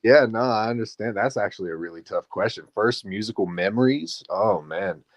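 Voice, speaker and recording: A man being interviewed about his music, talking in a relaxed, laid-back way. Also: on the low side, brisk, no room sound. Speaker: guest musician. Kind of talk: casual interview chat.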